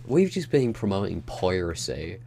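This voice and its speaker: A man's voice: low-pitched, very animated, normal speed, creaky, warm tone. A man co-hosting a podcast, teasing his co-host and swearing often in casual chat.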